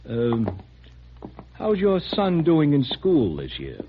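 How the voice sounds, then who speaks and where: An American actor playing a brisk, guarded army major in a 1950s radio drama, questioning in a clipped, official, probing way. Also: male voice, medium pitch, wildly swooping pitch, heavily acted. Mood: casually probing. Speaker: military security officer. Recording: muffled, hissy old radio recording.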